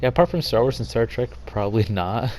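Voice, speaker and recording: A man's voice: medium pitch, expressive, quick pace, a crowd in the background. A man co-hosting a casual podcast, musing aloud in an easygoing way. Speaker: podcast co-host. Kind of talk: casual group chat. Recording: faint background noise.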